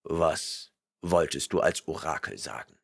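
A man's voice: a bass voice, expressive, measured pace, creaky, slightly rough, pitched below the performer's own voice, full character voice. A man voicing a burly barbarian warrior who growls and mutters his questions, impatient and menacing, in an audiobook reading. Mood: gruff.

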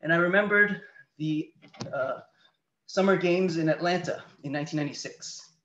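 Canadian guy in his 30s giving a talk on a video call, slightly high voice, kinda muffled lo-fi sound. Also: normal speed, sharp tone, slight background noise. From Canada, near Toronto. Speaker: guest speaker, patient advocate. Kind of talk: seminar presentation with slides. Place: small room indoors, on a video call. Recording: dull lo-fi video call audio.

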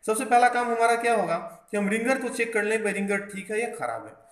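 A man with a high-pitched voice walks learners through a hands-on repair job. He explains each step, dropping in English technical terms. The room is echoey, with faint noise behind him. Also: lively intonation, fast. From India. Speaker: phone repair instructor. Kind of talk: hands-on tutorial narration. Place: indoors at a repair workbench.

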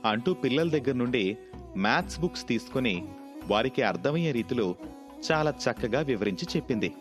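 మధ్యస్థ స్వరంతో కథ చెప్పే పురుష వ్యాఖ్యాత. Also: male voice, medium pitch, animated, brisk, faint music behind. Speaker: narrator. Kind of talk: scripted story narration.

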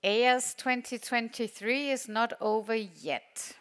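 A woman with a Danish accent, a native Danish speaker, chairing a session and making a measured stage introduction with pauses. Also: on the high side, fairly even intonation. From Denmark. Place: large conference hall on a stage.

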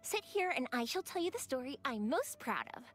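A woman voicing a cheerful, warm-hearted young fireworks maker who tells stories in an animated, chatty way, as a video game character. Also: high voice, brisk. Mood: storytelling. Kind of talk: video game character voice lines, conversational storytelling.

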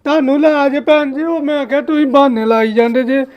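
A man's voice: very high voice, steady tone, quick pace. A man with a Punjabi accent making a prank phone call as a vegetable seller, pleading and insistent. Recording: phone call with faint noise.

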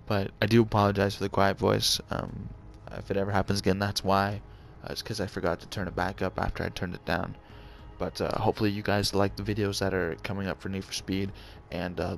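A young man with a deep voice talking quietly and hesitantly to his viewers as a gaming channel host, with many ums and uhs. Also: level tone, a little fast, creaky. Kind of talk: casual talk to viewers.

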